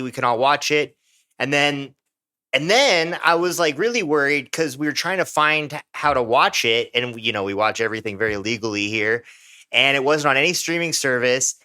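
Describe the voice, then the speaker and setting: A man's voice: on the high side, normal speed, sharp tone, clean tone. A young American man, co-hosting a podcast in casual, rambling chat full of filler words. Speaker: podcast co-host.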